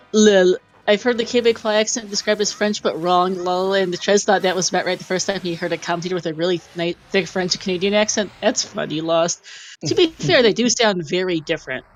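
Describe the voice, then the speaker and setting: A woman doing casual live commentary on a game tournament, chatty and quick to laugh as she reads out clues. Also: lowish voice, soft background music, poor audio.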